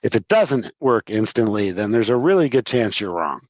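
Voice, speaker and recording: A man's voice: lowish voice, big pitch swings, normal speed, no room sound, lo-fi sound. A man in his sixties with a cold, explaining his trading setup in casual live-stream commentary, with lots of fillers and restarts. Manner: rambling, filler-heavy explaining. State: congested from a cold. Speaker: trading educator on a livestream.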